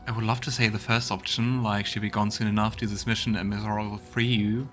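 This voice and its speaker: A man's voice: fairly low pitch, little pitch movement, fast, fairly bright tone, faint music behind. A man giving casual let's play commentary, thinking aloud and reading out dialogue options.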